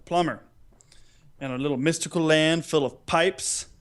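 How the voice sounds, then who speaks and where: Playful, higher-voiced man bantering on a podcast. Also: moderate pace. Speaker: podcast co-host. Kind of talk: casual comedic banter.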